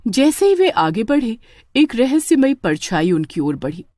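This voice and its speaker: Expressive woman narrating a horror tale. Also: fairly high pitch, measured pace, no room sound. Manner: dramatic, with stammering in character lines. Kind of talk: scripted horror story narration.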